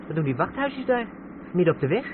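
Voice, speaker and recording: A man's voice: high voice, sing-song, fast. A young man playing a curious, eager lad in a radio drama. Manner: inquisitive, eager. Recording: tinny old radio recording, loud background noise.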